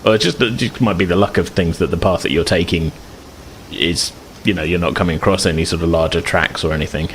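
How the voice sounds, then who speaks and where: Low-voiced man running a tabletop game. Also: quick pace, poor audio. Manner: hesitant, thinking aloud, loosely phrased. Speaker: game master. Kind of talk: casual tabletop game narration. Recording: clear background noise, room ambience.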